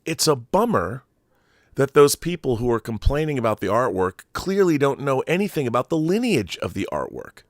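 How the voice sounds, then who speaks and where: A middle-aged man delivers opinionated film commentary in a very lively, swinging pitch. The sound is dry and close, and he leans hard on key words, pausing and repeating phrases to make his point. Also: no echo. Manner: emphatic, deliberate, with stressed pauses and repeated phrases. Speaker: host of a film commentary show. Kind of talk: opinionated monologue to viewers about film collectibles.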